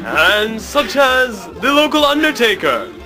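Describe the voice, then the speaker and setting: A man's voice: very high-pitched, huge pitch swings, measured pace. A man narrating a comic tale as host, in a theatrical, mock-grand storybook style. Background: music.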